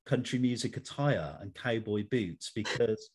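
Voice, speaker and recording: A middle-aged man hosting a conversational interview in a measured, polite, slightly formal manner. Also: on the low side, husky. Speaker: interview host.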